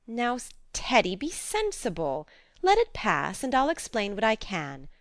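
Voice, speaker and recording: A woman voicing a spirited, sensible teenage girl in an audiobook, brisk and coaxing. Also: a little high, wildly swooping pitch, no room sound, voice pushed up, full character voice. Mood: soothing, coaxing. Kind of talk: audiobook character reading.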